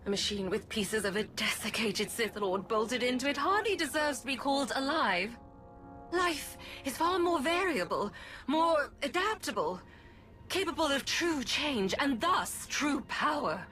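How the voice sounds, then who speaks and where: Haughty, theatrical villainess, swooping delivery. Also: female voice, sits high, sing-song, a little slow, a bit bright, faint music behind, heavily acted. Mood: disdainful lecturing. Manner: pompous, disdainful, elaborate diction. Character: haughty, theatrical female Sith lord villain, scornful scientist. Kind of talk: video game villain acting.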